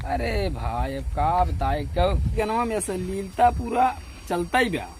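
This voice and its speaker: High-pitched Awadhi man, slow and rambling. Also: animated, noisy background. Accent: Awadhi, eastern Uttar Pradesh. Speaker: comedian doing a phone-call skit. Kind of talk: casual colloquial phone conversation.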